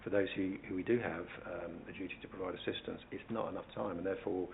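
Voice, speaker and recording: Low, monotone man with gravelly creak. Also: fast talker, phone-quality sound, slight background noise. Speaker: council officer answering questions at a committee meeting. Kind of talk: formal spoken answers at a public meeting. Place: indoor council committee meeting.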